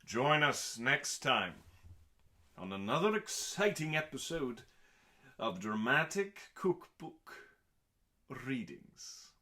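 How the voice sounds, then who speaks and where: Slow, theatrical man with a bright voice. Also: medium pitch, sing-song. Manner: theatrical, pompous, deliberate with dramatic pauses. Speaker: host reading comedic cookbook recipes. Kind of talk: mock-dramatic scripted narration.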